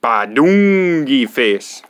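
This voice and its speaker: A man voicing a silly robot monkey in a children's storybook, with exaggerated, drawn-out nonsense sounds. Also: medium pitch, very animated. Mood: goofy. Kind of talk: playful children's picture-book reading.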